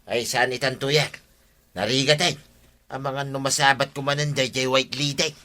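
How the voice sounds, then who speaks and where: A man acting an easily frightened village husband in an excitable, exclaiming way for an Ilocano comedy radio drama. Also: mid-range voice, moderate pace, close to an everyday voice. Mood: reluctant, uneasy.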